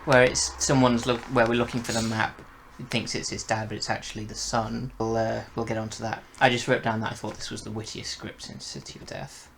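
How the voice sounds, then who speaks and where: A man's voice: fairly low pitch, flat delivery, a little fast, gravelly, hardly any pauses, fairly bright tone, a little hiss or hum. A man hosting a casual review discussion, talking conversationally. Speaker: podcast review host.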